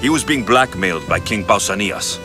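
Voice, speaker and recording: A man's voice: lowish voice, expressive, normal speed, natural voice. An actor playing a resolute, earnest Spartan mercenary man in a video game. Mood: firm. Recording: game cutscene with music behind. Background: music.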